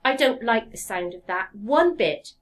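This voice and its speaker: A woman voicing an anxious wooden puppet boy who complains in a high, pleading way. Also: lively intonation, measured pace. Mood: protesting.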